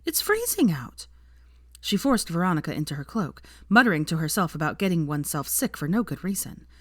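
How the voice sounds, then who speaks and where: A woman's voice: low-pitched, lively intonation, fast talker, no room sound, clean studio sound. A woman reading fiction aloud as an audiobook narrator, in scripted storytelling style.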